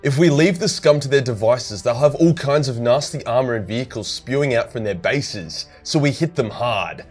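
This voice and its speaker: Gruff major general played by a male narrator, mid-range voice, decisive and commanding, clipped delivery for a war audio story. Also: mid-range voice, moderate pace, a little mellow, faint music behind, voice pushed up, lightly acted. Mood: commanding, resolute. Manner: authoritative, clipped orders. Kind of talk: dramatised storytelling.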